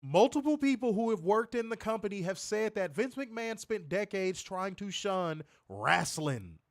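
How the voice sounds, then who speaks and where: A man with a fairly high voice and a congested, stuffed-up nose, co-hosting a wrestling review. He rants in a blunt, profane and animated way. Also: moderate pace. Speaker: podcast co-host. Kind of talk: casual ranting wrestling review.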